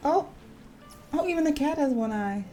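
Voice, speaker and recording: A woman's voice: sits high, expressive, moderate pace, warm tone. A woman casually talking through a video game as she plays, chatty and playful. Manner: chatty, playful, reads game text aloud. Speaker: gaming video host. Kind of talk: casual gameplay commentary. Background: faint game music.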